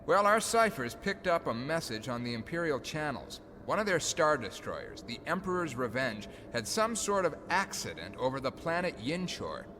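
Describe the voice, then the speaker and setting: An actor playing a brisk, matter-of-fact general in a science fiction audio drama. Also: male voice, fairly high pitch, very animated, pushed and bright. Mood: businesslike. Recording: reverberant hall, faint background noise.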